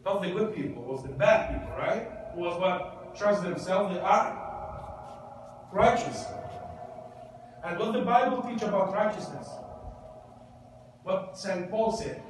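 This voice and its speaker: A man pastor giving a conversational Bible lesson, asking the congregation questions. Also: high voice. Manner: conversational, asks the room questions. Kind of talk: interactive Bible teaching. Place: church. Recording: echoey church hall, distant mic, noisy.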